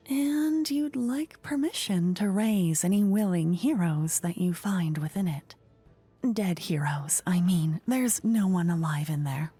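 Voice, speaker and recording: Woman acting as a slow, hushed, playful fantasy queen. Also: medium pitch. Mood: breezy, knowing. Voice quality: soft, breathy, often dropping to a whisper. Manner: languid, coy, flirtatious, courtly. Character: playful regal fantasy queen of a tiny kingdom. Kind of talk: ASMR fantasy roleplay, soft-spoken with whispering. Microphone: close ear-to-ear microphone.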